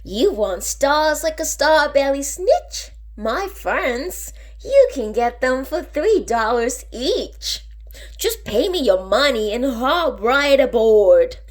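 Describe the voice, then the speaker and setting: A woman reading a storybook, voicing a slick, upbeat male travelling salesman with a persuasive showman's pitch. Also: sing-song, slow, exaggerated voice. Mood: brisk, selling.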